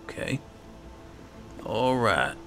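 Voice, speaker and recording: A man's voice: lowish voice, sing-song, drawn-out words, over music. A man giving casual roleplay commentary on a video game, muttering to himself in short bursts. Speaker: gamer, video host. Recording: clearly noisy, game sound behind.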